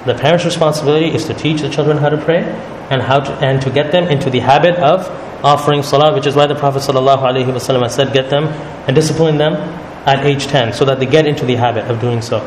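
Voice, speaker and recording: A man leading a religious study circle, explaining calmly and often checking in with "right?". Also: medium pitch, fast talker, hardly any pauses. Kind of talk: religious talk to a group. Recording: echoey hall with noise.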